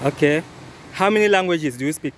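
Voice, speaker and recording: Guy asking quick interview questions outside, a bit high voiced, faint traffic. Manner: short questions and brief acknowledgements.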